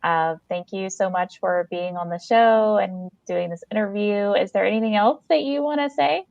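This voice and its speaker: A woman's voice: mid-range voice, moderate pace, gravelly, a little mellow, dry recording, dull audio. A woman co-hosting a podcast in casual chat, speaking in an effusive, encouraging way. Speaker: podcast co-host. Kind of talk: casual interview chat with plugs.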